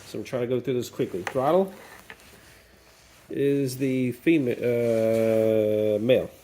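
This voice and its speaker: A man's voice: mid-range voice, fairly even intonation, slow pace, warm tone. A man with a mid-range voice hosting a hands-on repair walkthrough, chatty and thinking aloud as he works. He slips into playful repetition of words now and then. A faint background noise hangs behind him in the workshop. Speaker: DIY video host. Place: workshop or garage, cold day.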